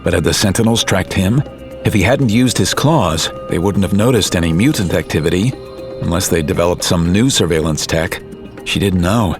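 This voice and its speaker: A man narrates an audio drama in a steady, measured storytelling voice. His pitch sits low, and a clear music bed plays under his reading.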